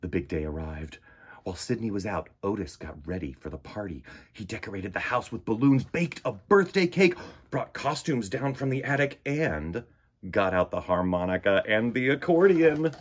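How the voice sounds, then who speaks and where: A man reading a children's picture book aloud in an expressive, dramatic way, with swells and hushes. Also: lowish voice, moderate pace, breathy edge. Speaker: children's storybook reader. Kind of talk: animated read-aloud narration of a picture book.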